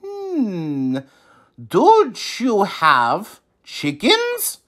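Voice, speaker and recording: Man as storybook wise man, deliberate, advising, slow, raised pitch. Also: huge pitch swings, fairly bright tone, full character voice. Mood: calm advising. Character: calm village wise man giving odd advice. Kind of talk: children's storybook character voice.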